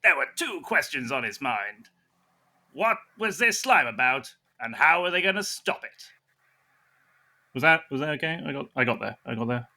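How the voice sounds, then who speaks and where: A man's voice: medium pitch, very animated, moderate pace, sharp tone. A man improvising dramatic narration and banter in a comedy audio drama, with a hammy, flustered manner.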